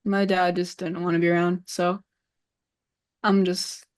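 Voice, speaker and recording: A woman's voice: fairly low pitch, flat delivery, a little slow. A woman in her twenties whose first language is Cantonese, a streamer chatting in an interview, hesitant and full of "like". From San Francisco Bay Area. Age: 20s. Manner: hesitant, lots of "like" and trailing off. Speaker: streamer guest. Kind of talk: casual interview chat.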